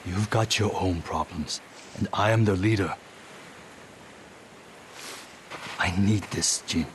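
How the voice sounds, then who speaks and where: Guy doing a wry brash fighter boss in a video game, lowish voice, blunt, noisy ambience around him. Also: normal speed, natural voice. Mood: stubborn, troubled. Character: brash warrior leader man, proud, wry and stubborn. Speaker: voice actor in a samurai video game. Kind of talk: cinematic video game dialogue. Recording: game dialogue under clear ambient noise.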